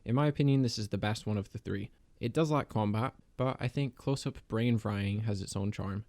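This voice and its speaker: A man giving a scripted voice-over about his own 3D animation project, in a measured, explanatory and lightly self-deprecating manner. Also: fairly low pitch, a little mellow. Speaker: video creator and 3D animator.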